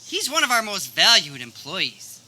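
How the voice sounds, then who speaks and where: A woman's voice: medium pitch, sing-song, moderate pace, noisy background, natural voice. A woman playing a cheery male office manager in a comedic radio play. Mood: welcoming, chatty. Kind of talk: comedic radio theater acting.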